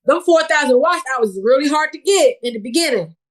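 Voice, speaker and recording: A woman from New York City, a guest on a casual podcast, chatting in a relaxed way and laughing easily. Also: high voice, brisk. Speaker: podcast guest, social media creator.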